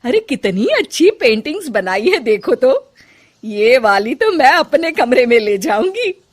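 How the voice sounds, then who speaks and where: A woman acts out a middle-aged mother-in-law from an animated moral story. She is chatty and exclamatory, with a fairly high voice and very lively intonation. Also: a little slow, heavily acted. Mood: delighted.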